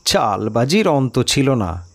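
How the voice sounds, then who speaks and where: Male audiobook narrator, unhurried delivery, lively pitch movement, dramatic storytelling. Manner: measured, expressive storytelling with pauses. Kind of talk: dramatic audiobook narration of a first-person mystery tale.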